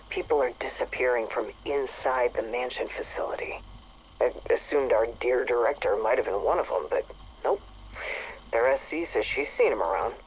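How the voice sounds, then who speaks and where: An exasperated female station chief in a video game, dictating her log. Her delivery swings widely in pitch, full of dry sarcasm, with faint noise on the radio-like recording. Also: rough recording. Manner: sarcastic, animated griping. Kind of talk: acted audio log monologue.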